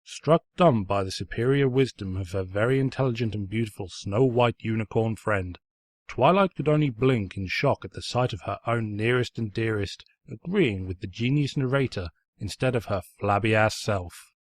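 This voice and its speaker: Low-voiced man narrating, pompous and snide. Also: moderate pace. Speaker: narrator, fan fiction reader. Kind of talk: scripted story narration.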